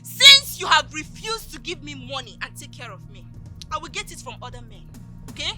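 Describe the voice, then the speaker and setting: A woman's voice: high-pitched, very animated, moderate pace, over loud music. A woman with a Nigerian accent playing a girlfriend who mocks her boyfriend with sarcastic questions and dumps him. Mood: mocking. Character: sassy, mocking girlfriend dumping her man.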